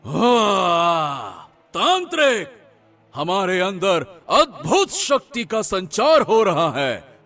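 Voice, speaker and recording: A man's voice: high voice, huge pitch swings, very slow, bright, forceful tone, full character voice. A man voicing an arrogant, power-hungry cartoon king with an imperious, theatrical delivery. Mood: awed, exultant. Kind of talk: cartoon story voice acting.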